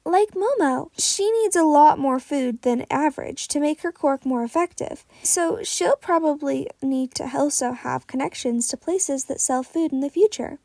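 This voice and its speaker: Teenage male hero student read by a woman, earnest explainer, high voice, dry fan fiction reading. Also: moderate pace, vocal fry, few pauses, warm tone, no room sound.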